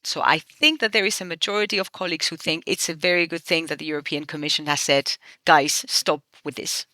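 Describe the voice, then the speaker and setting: A woman's voice: deep voice, fairly even intonation, fairly quick, sharp tone, dry recording. A middle-aged woman from Spain, a member of the European Parliament, explaining her views earnestly in an interview. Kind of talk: interview answers.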